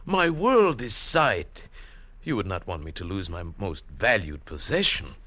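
An actor in old-time radio drama playing an earnest, impassioned outsider in love, scorned as a village idiot. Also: male voice, fairly low pitch, big pitch swings, a little slow, bright, forceful tone, no echo, full character voice. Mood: pleading. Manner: earnest, impassioned, hesitant in dialogue. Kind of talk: 1940s-50s radio drama acting and narration.

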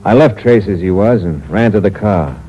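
Deep-voiced hardboiled radio killer. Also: male voice, animated, moderate pace, warm tone. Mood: grim, confiding. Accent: American. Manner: terse, slangy, hardboiled. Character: cold-blooded young male killer posing as a nephew, cynical, slangy. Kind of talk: 1940s radio thriller acting, first-person hardboiled narration. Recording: muffled old radio recording with hum and hiss.

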